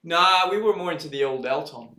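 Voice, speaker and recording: A man co-hosting casual race commentary, chatting in relaxed, slangy banter. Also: on the high side, a little fast. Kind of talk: casual sports chat on a stream. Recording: roomy echo.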